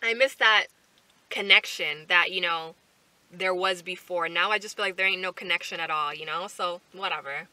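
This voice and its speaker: A woman in her twenties chatting casually to camera from a parked car, animated and full of fillers and asides. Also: lowish voice, moderate pace. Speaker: vlogger. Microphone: camera on the dashboard.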